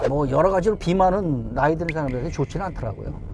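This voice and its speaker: A man's voice: fairly high pitch, animated, fast talker, background noise. A man in his fifties, a taxi driver, answering interview questions in an easygoing way and chuckling often. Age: 50s.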